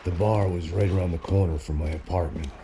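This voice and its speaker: An actor playing a world-weary, middle-aged ex-cop in a noir game, sardonic and deadpan. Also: male voice, low-pitched, brisk, noisy background, lightly acted. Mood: weary, reflective. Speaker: narrator and lead character. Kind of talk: noir game narration and cutscene dialogue. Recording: loud music bed under the voice.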